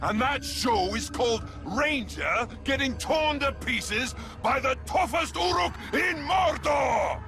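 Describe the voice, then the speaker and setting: A man acting as a brutish, bloodthirsty orc warrior in a video game, taunting and gloating theatrically in a gravelly voice. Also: extremely high pitch, animated, slow pace, hardly any pauses, heavily acted. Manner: taunting, theatrical shouting and snarling. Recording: loud music over the voice.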